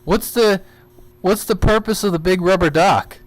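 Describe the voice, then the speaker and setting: High-pitched man over faintly noisy radio. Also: moderate pace. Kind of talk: casual radio banter. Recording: radio transmission with faint noise.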